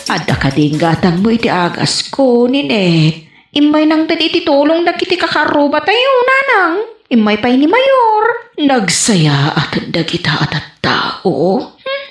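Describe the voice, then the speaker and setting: A woman's voice: sits high, big pitch swings, unhurried, reverberant, soft background music. A woman playing an exasperated, complaining younger sister, with an Ilocano Filipino accent, in a radio comedy drama.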